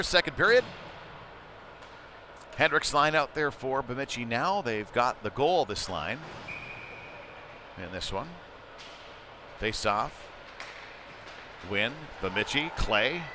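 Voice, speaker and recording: A man with a mid-range voice commentates a live ice hockey game. He calls the play in short, clipped fragments. Clear noise from the rink runs behind his voice throughout. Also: medium pitch. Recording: noisy rink ambience behind voice.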